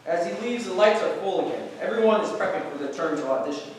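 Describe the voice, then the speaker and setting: A man narrates a script with stage directions. His voice sits a little high for a man. He is heard from some distance in a strongly reverberant hall. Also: animated, fast talker, slight background noise. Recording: echoey hall, distant mic.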